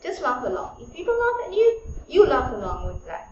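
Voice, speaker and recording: A woman's voice: high voice, wildly swooping pitch, a little fast, a little hiss or hum. A woman from Malaysia, Tamil-speaking, with a Malaysian English accent, teaching an encouraging English lesson and often laughing. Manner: encouraging, repeats key points, laughs often. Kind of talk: online language lesson. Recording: echoey room, dull lo-fi sound.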